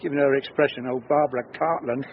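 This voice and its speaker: A man's voice: mid-range voice, steady tone, quick pace, lightly acted. Man acting wry old brit dad, radio play, bit muffled, faint noise. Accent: British. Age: older. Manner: chatty, dryly humorous. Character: wry, lonely older father, fond of daughter.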